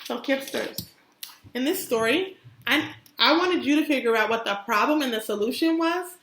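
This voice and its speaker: High, bright-voiced woman teacher in an echoey room. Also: slight background noise. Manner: expressive, encouraging, pauses to question listeners. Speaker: kindergarten teacher. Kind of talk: read-aloud storytelling for young children with questions to the listeners. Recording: roomy, echoey sound.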